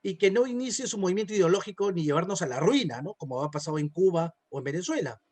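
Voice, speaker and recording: A man's voice: sits high, animated, quick pace, a little mellow. A man from Peru with a Peruvian Spanish accent, speaking as an interview guest and political consultant, explaining things conversationally and often ending on tag questions.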